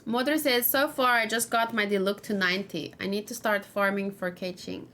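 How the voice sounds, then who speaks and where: A woman's voice: medium pitch, steady tone, normal speed, fairly bright tone. A woman chatting casually as she plays a game on stream, thinking aloud in short, musing fragments. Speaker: gaming livestream host. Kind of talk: casual let's play commentary. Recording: background noise with keyboard typing. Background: faint noise, keyboard typing.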